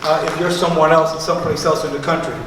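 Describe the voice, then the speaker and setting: A man's voice: fairly high pitch, little pitch movement, fast, a crowd in the background. A man moderating a museum panel talk, chatty and conversational, with casual asides. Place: museum event space, live audience. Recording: echoey hall from far off.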